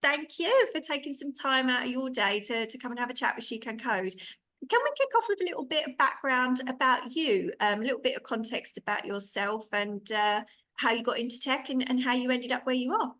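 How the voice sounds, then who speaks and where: A woman's voice: high voice, expressive, a little fast, bright, forceful tone. A woman hosting a podcast, interviewing her guest in a conversational style. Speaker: podcast host.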